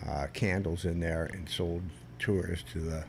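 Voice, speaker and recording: Gravelly deep-voiced older man. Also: steady tone, background noise. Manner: rambling, halting, with many restarts; loud voice that carries. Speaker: guest, Vietnam veteran. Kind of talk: casual storytelling interview.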